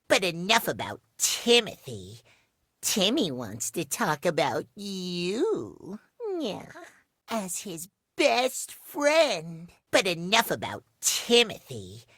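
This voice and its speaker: High, cutesy, excitable game character. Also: male voice, no echo, clean studio sound, full character voice. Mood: tender. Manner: refers to himself in third person, gushing, theatrical. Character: cutesy, excitable, dramatic household-object creature speaking of himself. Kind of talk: animated video game character acting.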